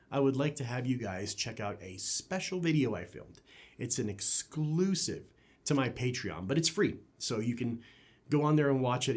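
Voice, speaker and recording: Man, hi-fi gear reviewer, mid-pitched voice, conversational, opinionated, emphatic phrasing. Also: darkish tone. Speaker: audio gear reviewer and channel host. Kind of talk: product review to camera.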